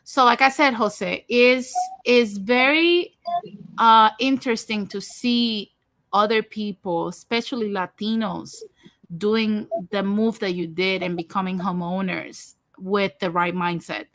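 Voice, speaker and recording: A woman's voice: mid-range voice, unhurried, fairly bright tone, dry recording. A woman from Puerto Rico in her thirties, hosting a casual livestream chat and talking frankly and directly to her viewers. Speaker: host of a livestream talk show.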